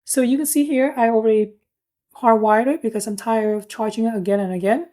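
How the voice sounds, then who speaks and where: A woman with a distinctly mellow, soft-edged tone and a slightly high voice. She talks through a product casually, as a reviewer would, in plain and informal terms. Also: fairly even intonation, a little fast. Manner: informal, plain-spoken explaining.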